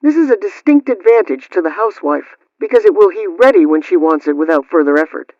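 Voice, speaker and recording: A man's voice: high voice, animated, fairly quick, darkish tone, dry recording. A man presenting a radio program, reading scripted household advice. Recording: dry, dull lo-fi radio sound.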